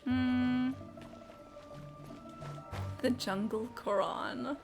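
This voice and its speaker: High-voiced, sweary woman streamer over game music. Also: fairly even intonation, extremely slow. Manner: sweary, rambling, thinking aloud. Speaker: live streamer. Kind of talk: casual gameplay stream chat.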